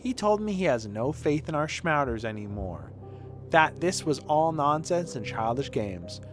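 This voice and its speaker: A proud, accusing child apprentice from a video game, read out by a man. The voice is somewhat high and animated, defiant and full of reproach, with music behind. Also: measured pace. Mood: bitter. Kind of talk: reading game dialogue aloud.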